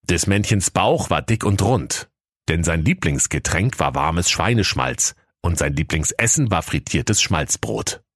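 A man's voice: low-pitched, expressive, unhurried, fairly bright tone, no echo, studio quality. A man narrating a comic fairy tale as storyteller in a mock-solemn manner.

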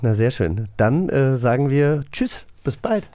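A man's voice: mid-range voice, big pitch swings, measured pace, warm tone. A man co-hosting a podcast, chatting casually in a studio. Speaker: podcast co-host. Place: dry studio.

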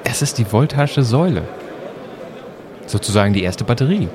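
A man's voice: mid-range voice, animated, normal speed, background noise. A man in conversational audio drama dialogue, playing a time-travelling presenter with an inquisitive, marvelling manner.

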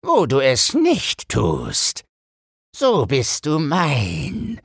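A man voicing a sly, menacing devil in a fairy tale, scornful and commanding, with drawn-out words and a husky rasp. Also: medium pitch, wildly swooping pitch, no echo, studio quality, pitched above the performer's own voice, exaggerated voice. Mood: angry, threatening. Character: sly menacing devil posing as old stranger.

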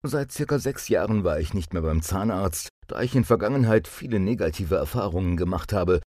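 A man reading a scripted first-person testimonial as a narrator, in a measured way. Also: on the low side, mellow, no echo, clean studio sound.

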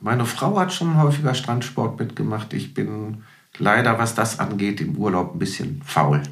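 A middle-aged man chatting casually as an interview guest, easygoing and a little halting as he thinks. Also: fairly low pitch, gravelly, fairly bright tone, roomy sound. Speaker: podcast guest, musician. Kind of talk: casual chat.

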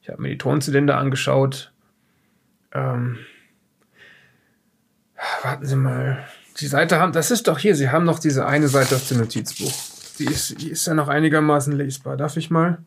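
A man playing an evasive, rambling researcher under questioning in an improvised radio play, speaking haltingly and backtracking. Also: medium pitch, steady tone, vocal fry, slight background noise, lightly acted. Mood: flustered. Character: evasive, rambling male researcher being questioned after strange events. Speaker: player in an audio-drama let's play of a solo horror adventure.

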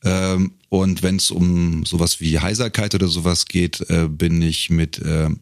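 A man's voice: sits low, little pitch movement, a little slow, dry recording, studio quality. A middle-aged man chatting casually as an interview guest, telling anecdotes with a joking touch. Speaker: podcast guest, professional voice-over speaker and singer. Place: close-miked in a dry room.

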